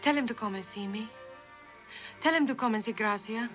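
A woman's voice: fairly high pitch, fairly quick, natural voice. An actress playing a proud young woman of the border in an old television western, urgent and pleading. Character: defiant, pleading young Mexican border woman named Gracia. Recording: old TV soundtrack under loud music.

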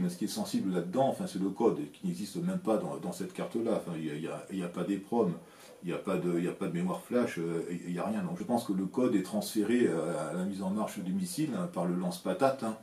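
A low, mellow-voiced man in his sixties from France talks to the camera from a home workshop. He explains in a measured, plain-spoken way, pausing often on hesitant fillers. The room sounds echoey, with a faint hum behind him. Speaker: electronics hobbyist, channel host. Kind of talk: casual explanatory talk to camera. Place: home workshop with shelves of equipment, indoors. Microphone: camera framing at desk, seated.

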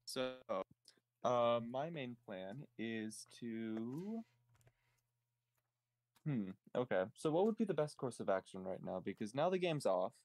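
A man's voice: mid-range voice, animated, slow pace, a little mellow, no room sound. A man playing a tabletop game, hesitantly thinking aloud in casual talk. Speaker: tabletop roleplay player.

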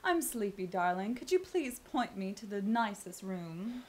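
A woman's voice: medium pitch, sing-song, unhurried, slight background noise. An actress playing a snooty, pompous rich woman with an affected drawl in a campy comedy. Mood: haughty. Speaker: actor. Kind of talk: campy web-series comedy acting.

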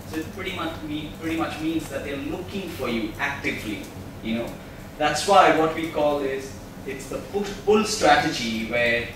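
Mann, der im hallenden Saal vorträgt. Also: male voice, on the high side. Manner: explanatory, asks the audience questions. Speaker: presenter at a tech meetup. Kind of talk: presentation talk. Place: large meeting room, speaking at a podium. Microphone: far camera mic. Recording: echoey room recorded from far off, noisy.

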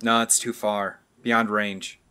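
A man's voice: fairly low pitch, flat delivery, slow, sharp tone, clear, resonant tone. A man acting an uneasy character on a park tour in an audio drama. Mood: uneasy, reassuring.

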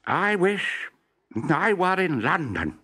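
A man's voice: high voice, very animated, slow pace, noisy background, voice pushed up, heavily acted. A man voicing a cheerful, absent-minded professor in a storybook, stammering and exclaiming as he goes. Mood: exhilarated.

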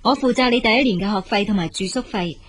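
An actress playing a practical, dutiful elder sister in a Cantonese radio drama, frank, brisk and reassuring. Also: female voice, medium pitch, husky, lightly acted. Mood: reassuring, firm.

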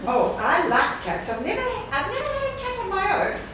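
A woman's voice: very high voice, sing-song, a little fast. A woman on stage playing a friendly, eager shop manager, speaking with simple, earnest projection. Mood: pleased, keen. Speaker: stage actor. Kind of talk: live stage play dialogue. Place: theatre stage before a live audience. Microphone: far camera. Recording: echoey noisy theatre, distant camera mic.

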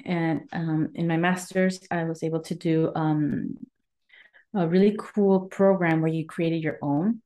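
A woman in her thirties from Mexico and Guatemala speaks as a podcast guest in a low, mellow voice. She talks earnestly and warmly. She often pauses with "um" while she finds the next word. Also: steady tone. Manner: warm, earnest, searching for words. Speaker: wellness coach, guest. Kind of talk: conversational interview.

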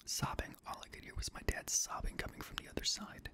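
A young man reading scary stories in a hushed, breathy ASMR whisper, gently, close to a studio microphone. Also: a little fast. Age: young adult. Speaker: host and reader of scary stories. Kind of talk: whispered ASMR horror story narration. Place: small indoor home studio room. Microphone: close boom-arm studio microphone.

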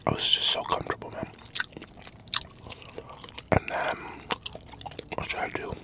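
A man in his thirties, an ASMR creator, whispering a loose, profane eating chat into a desk mic inside a parked pickup truck. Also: a little slow. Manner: whispering, loose and profane, chewing between remarks. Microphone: large desktop mic in the cab, GoPro camera.

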